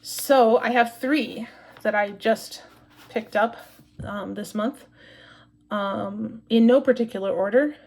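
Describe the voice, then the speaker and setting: A woman's voice: a little high, slow pace, a little mellow. A woman in her forties from Maine, chatting casually to camera as a cross-stitch vlogger and often rambling and correcting herself with lots of fillers.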